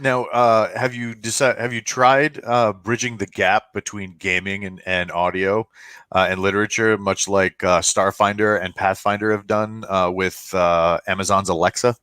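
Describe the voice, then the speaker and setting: Smooth, lower-voiced male podcast interviewer. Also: steady tone, moderate pace, fairly bright tone, no room sound, clean studio sound. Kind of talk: casual interview questioning.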